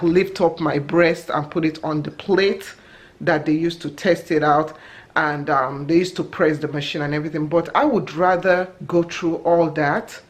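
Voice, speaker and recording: A woman in her forties casually vlogging to camera from her home kitchen, chatty and self-correcting, laughing at herself. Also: low voice. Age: 40s. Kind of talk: casual talking-to-camera vlog. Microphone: camera held at arm's length.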